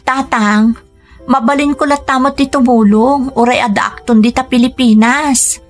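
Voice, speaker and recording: An actress playing a dutiful, weary young Filipina daughter with an Ilocano accent, earnest and plaintive in a radio drama. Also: female voice, sits high, fairly even intonation, moderate pace, faint music behind, lightly acted. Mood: gentle, hesitant. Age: young adult.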